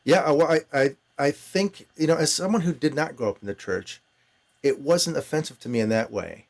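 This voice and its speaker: A man's voice: on the high side, fairly quick, vocal fry. A man hosting a fiction podcast, interviewing guests and narrating stories. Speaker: podcast host and story narrator.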